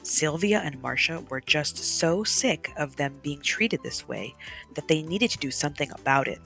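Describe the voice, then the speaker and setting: Animated low-voiced woman reading a storybook. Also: moderate pace, vocal fry, soft background music. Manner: animated, dramatic, explains the pictures in asides. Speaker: storyteller reading a children's picture book aloud. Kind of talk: expressive storytime reading with picture explanations.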